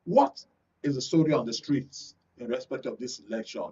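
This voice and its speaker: A man's voice: mid-range voice, animated, normal speed, mellow, slightly rough. A man with a Nigerian accent hosting a political discussion, putting questions to his guests. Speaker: host. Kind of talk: moderating a political radio and TV discussion. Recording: dull lo-fi sound, distant mic.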